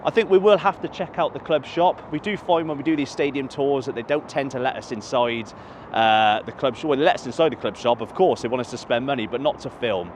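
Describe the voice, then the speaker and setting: A man's voice: medium pitch, fairly quick. Guy vlogging outside a stadium, chatty n rambly, bit of traffic noise behind. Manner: chatty, rambling, self-correcting. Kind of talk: casual walking vlog to camera. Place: outdoors by a stadium near a motorway.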